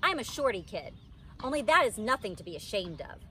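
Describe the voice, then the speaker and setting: A woman's voice: fairly high pitch, a little fast. A young woman reading a children's book aloud like a teacher, expressively acting out the characters. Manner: animated, acts out the characters. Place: outdoors under a tree, in a wicker pod seat. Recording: outdoor background noise.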